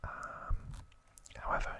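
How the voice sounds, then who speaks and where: A man's voice: takes it slowly, pushed and bright. A man whispering breathily in a casual ASMR recap, explaining informally and with some hesitation. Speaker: ASMR video host.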